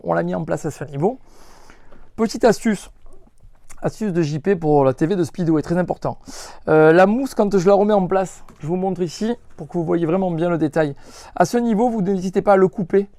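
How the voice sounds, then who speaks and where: A man's voice: fairly high pitch, vocal fry, mellow, no room sound. A man presents a hands-on product tutorial as a shop presenter, unscripted and instructive, often stressing what matters. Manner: instructive, emphatic, repeats reminders of what matters. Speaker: motorcycle accessory shop presenter.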